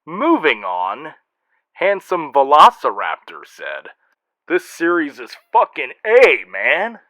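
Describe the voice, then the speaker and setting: A man's voice: on the high side, wildly swooping pitch, slow pace, bright, forceful tone, no echo. Wry male host with swooping, theatrical delivery. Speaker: host and narrator reading fan mail. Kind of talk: scripted comic hosting.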